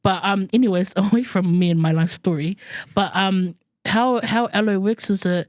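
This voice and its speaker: A woman's voice: medium pitch, moderate pace, a little mellow, dry recording. Laughing chatty New woman podcaster. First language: Samoan. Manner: chatty, laughing, colloquial asides. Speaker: podcast co-host. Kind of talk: casual podcast chat.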